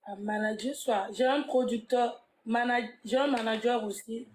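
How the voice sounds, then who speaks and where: Female guest singer, fairly high voice, short halting replies, faint noise behind. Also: fairly even intonation, darkish tone, reverberant, poor audio. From Burkina Faso, born in Kinshasa. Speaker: guest musician. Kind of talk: interview answers.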